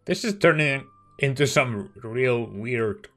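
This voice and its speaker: A woman's voice: a bass voice, expressive, takes it slowly, faint music behind. A woman giving casual, exclamatory and opinionated reactions as she plays through a game story. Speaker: let's play streamer.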